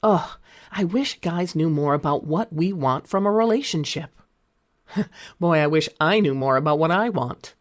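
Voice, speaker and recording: Woman acting as a wistful lady thinking out loud in a radio ad, low voice. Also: expressive, a little slow. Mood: musing, curious. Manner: musing, talking to herself. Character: wistful woman musing about romance in radio ad. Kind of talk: acted radio advertisement monologue.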